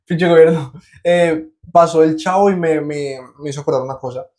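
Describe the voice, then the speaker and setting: A man's voice: on the high side, normal speed, a little mellow. Amused Colombian man reacting. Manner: laughing, amused, speaks straight to viewers. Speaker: reaction video host. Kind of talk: casual reaction commentary. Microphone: clip-on lapel mic.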